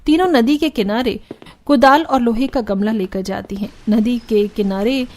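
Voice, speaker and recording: A woman's voice: fairly high pitch, moderate pace, darkish tone. A woman reading scripted storybook narration for a cartoon.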